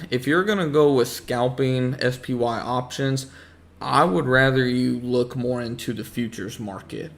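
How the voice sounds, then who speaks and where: Gravelly-voiced man chatting on a stream. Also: mid-range voice. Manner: relaxed, explanatory, many fillers. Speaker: stock trading streamer. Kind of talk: casual livestream Q&A.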